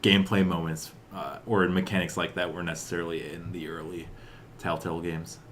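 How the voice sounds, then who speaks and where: A man's voice: sits low, little pitch movement, fairly quick, fairly bright tone, a little hiss or hum. A man co-hosting a podcast, chatting casually about video games. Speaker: podcast co-host.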